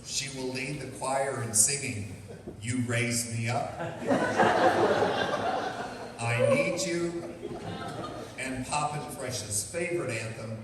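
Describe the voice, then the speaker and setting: Guy doing deadpan radio play narration, medium pitch, far from the mic in a big echoey hall. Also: slow, pauses often. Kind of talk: scripted mock-solemn comedy narration. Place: live performance in a reverberant hall. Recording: echoey hall, distant mic, loud noise.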